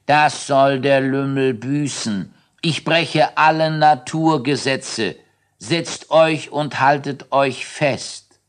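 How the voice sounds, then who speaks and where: A man voicing a magical male whirling worm, friendly but indignant, who speaks in a slow, commanding way. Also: medium pitch, level tone, clear, resonant tone, pitched above the performer's own voice.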